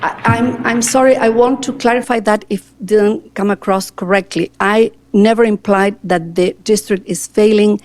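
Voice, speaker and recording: A woman's voice: medium pitch, fairly even intonation, normal speed, few pauses, a little mellow. A talkative, earnest woman from Argentina with an Argentine Spanish accent, answering a school board's interview questions. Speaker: board seat candidate.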